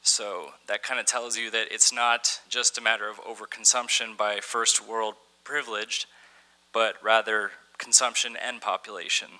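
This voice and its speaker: A young man giving a conference talk from a podium in a large dim hall, halting with many fillers and restarts, and dropping in wry asides. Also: lowish voice, little pitch movement, bright, forceful tone. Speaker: conference speaker. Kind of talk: conference talk with some reading aloud. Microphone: podium microphone.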